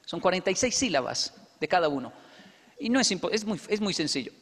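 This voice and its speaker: A man with a Colombian accent speaks high in his range, holding a handheld mic on a large indoor stage. He tells lively stories to an audience and acts out the dialogue with quick asides. A long reverb from the hall trails after his words. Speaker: motivational speaker. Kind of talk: stage talk with storytelling. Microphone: handheld microphone.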